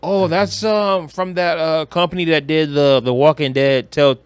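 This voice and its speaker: Male podcast co-host, slightly high voice, dry close sound, casual, opinionated, swears freely. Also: steady tone, normal speed, smooth, no echo. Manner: slangy, profane, opinionated. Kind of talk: casual chat about movies and games.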